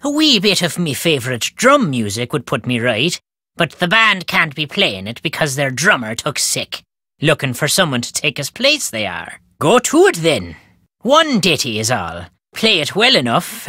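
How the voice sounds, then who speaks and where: Lilting, gruff old Irishman. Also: fairly high pitch, huge pitch swings, normal speed, pushed and bright, dry recording, clean studio sound, exaggerated voice. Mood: grumbling. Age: older. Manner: folksy inverted phrasing, storytelling lilt. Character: gruff, superstitious old Irish countryman, wry and stubborn. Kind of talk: video game character dialogue.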